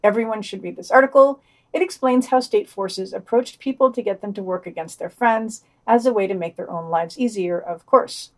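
A woman's voice: mid-range voice, moderate pace. A woman hosting a podcast, reading a scripted news digest and quoted passages aloud with wry asides. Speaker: podcast host.

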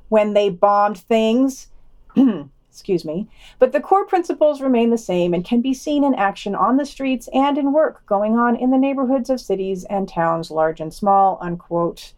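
A woman's voice: a little high, animated, normal speed, darkish tone. A woman reading scripted news items and long quotations for a podcast, dropping in wry asides.

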